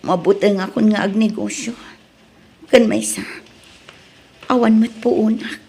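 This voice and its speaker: A woman's voice: mid-range voice, fairly even intonation, a little slow, gravelly, darkish tone, a little hiss or hum. A woman playing a worried, debt-burdened mother in an Ilocano drama, with an Ilocano accent and a plaintive, sighing delivery. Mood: anxious, burdened. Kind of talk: Ilocano radio drama acting.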